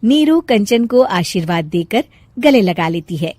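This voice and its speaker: A woman narrates a scripted moral tale as the storyteller. Her mid-pitched voice moves with lively intonation, and the recording is dry and close. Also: a little slow, dry recording.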